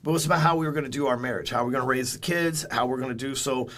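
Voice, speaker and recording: A man in his forties talks as a guest in a relaxed interview. His voice sits at an ordinary middle pitch. He speaks bluntly and candidly, with plenty of swearing as he tells his stories. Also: rapid-fire. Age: 40s. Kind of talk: casual interview storytelling.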